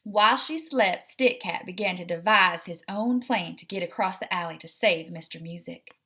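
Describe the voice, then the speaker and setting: A woman's voice: fairly low pitch, moderate pace, pushed and bright. A woman hosting a read-aloud, narrating a children's storybook expressively and addressing young listeners directly.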